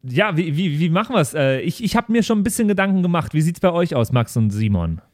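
A man's voice: on the high side, very animated, fairly quick, darkish tone, no echo. A man chatting casually as a podcast host and role-play player. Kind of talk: casual group discussion of a role-play campaign.

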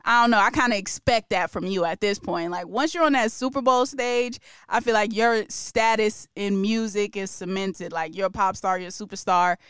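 Chatty woman podcast host, crisp and dry. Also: mid-range voice, moderate pace, hardly any pauses, fairly bright tone, no echo. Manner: chatty, opinionated, laughs often. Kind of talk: casual pop-culture podcast chat.

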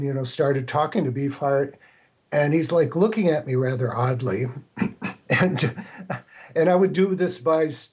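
An older man telling anecdotes casually as an interview guest, with a halting, stammering start to his phrases. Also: mid-range voice, a little mellow, husky. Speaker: guest, radio producer.

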